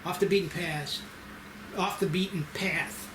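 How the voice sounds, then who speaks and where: A man's voice: sits high, fairly even intonation, measured pace, reverberant, noisy background. A man reading the part of a nervy, polite, dutiful investigative agent in a screenplay table read.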